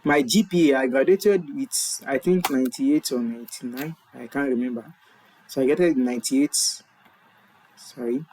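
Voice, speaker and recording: A man's voice: mid-range voice, moderate pace, a little mellow, a little hiss or hum, poor audio. Nigerian man explaining a form step by step. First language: Yoruba. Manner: explanatory, repeats and self-corrects. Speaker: tutorial presenter. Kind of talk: screen-share walkthrough. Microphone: webcam in corner of screen recording.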